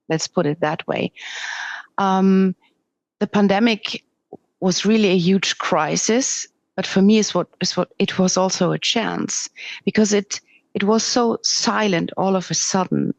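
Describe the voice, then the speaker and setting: Candid Swiss German woman, low voice. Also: creaky, dry recording. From Switzerland. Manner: earnest, candid, with halting restarts. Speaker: podcast guest. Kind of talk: interview conversation.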